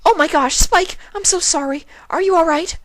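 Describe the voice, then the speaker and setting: Female unicorn pony , acted by a man: storybook, unwell, gentle, fretful for others, lifted pitch, dry sound. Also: moderate pace, dry recording. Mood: alarmed, concerned. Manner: gentle, concerned. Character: caring, concerned female unicorn pony, sick in bed.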